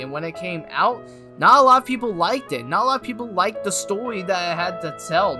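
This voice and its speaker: A video game reviewer narrating an enthusiastic scripted review in an animated, exclamatory and emphatic way. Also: medium pitch. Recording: music playing behind. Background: music.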